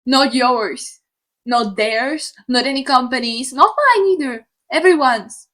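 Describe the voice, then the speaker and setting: Animated, sarcastic young woman from Slovakia. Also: high-pitched, slow pace. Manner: sarcastic, animated, swears freely. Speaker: YouTuber. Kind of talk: opinion rant to camera.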